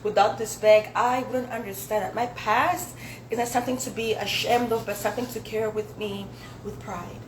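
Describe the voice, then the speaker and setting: A woman's voice: mid-range voice, steady tone, normal speed. A young woman from Zambia with an African accent, a native Swahili speaker, performing spoken word poetry live. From Zambia, later the United States. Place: indoor dinner event with audience. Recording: echoey room with loud background noise.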